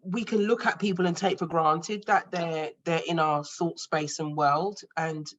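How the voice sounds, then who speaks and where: A woman with a British accent speaking thoughtfully and haltingly in an online group discussion, with many fillers. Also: low voice, steady tone, fairly bright tone, dull audio. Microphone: video call.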